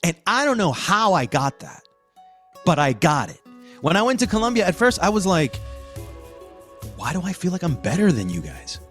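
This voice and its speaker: An American man co-hosting a podcast, talking through a story in an emphatic, reflective way with dramatic pauses. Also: high voice, very animated, fairly bright tone, soft background music. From Queens, New York, United States. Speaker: podcast co-host. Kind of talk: conversational podcast analysis.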